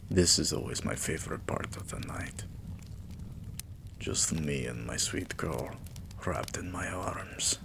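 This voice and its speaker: A man playing an adoring, weary vampire king in a romantic ASMR roleplay, murmuring tenderly and intimately in a breathy, gravelly half-whisper. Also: low voice, full character voice. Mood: soothing, protective.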